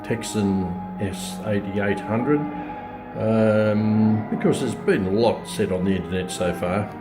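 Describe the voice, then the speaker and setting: Halting, low-voiced man demonstrating a product. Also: loud music behind the voice. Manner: hesitant, halting, thinking aloud. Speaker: radio product reviewer. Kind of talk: casual unscripted product demonstration. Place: outdoors or by a window in bright daylight, filming a radio.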